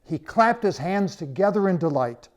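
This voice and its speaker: A man reading his own story as scripted narration, with measured, dramatic phrasing and pauses. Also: high voice, expressive.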